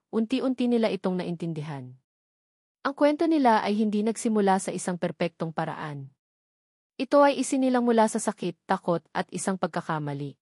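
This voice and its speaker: A woman's voice: medium pitch, a little mellow, no room sound, studio quality. A woman with a Filipino accent reads scripted narration for a romantic audio drama as a storyteller.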